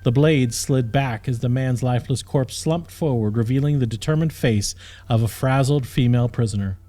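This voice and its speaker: A man's voice: mid-range voice, normal speed, warm tone. A man narrating a scripted fantasy audiobook. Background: music.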